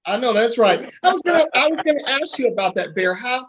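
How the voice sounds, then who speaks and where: A man's voice: very high voice, very animated, fast, a bit bright, breathy edge, low-quality recording. A man hosting a casual interview, repeating phrases for emphasis and speaking directly to the audience. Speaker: interview host.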